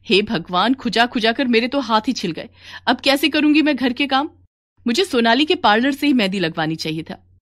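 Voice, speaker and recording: Irate complaining housewife for cartoon story, female performer; high voice. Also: expressive, normal speed, fairly bright tone. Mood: angry. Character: angry, complaining woman customer.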